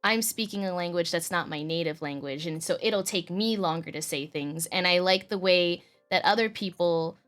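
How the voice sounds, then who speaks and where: A woman's voice: lowish voice, moderate pace, few pauses, fairly bright tone, faint music behind. A young woman, a streamer, chatting casually with lots of fillers and easy laughter. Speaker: streamer and podcast host. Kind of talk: casual chat.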